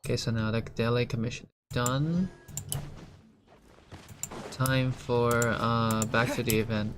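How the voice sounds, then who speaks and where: A man chatting casually as he live-streams a game, halting and repeating himself with mumbled asides. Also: medium pitch, steady tone. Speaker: gaming streamer. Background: music and keyboard typing.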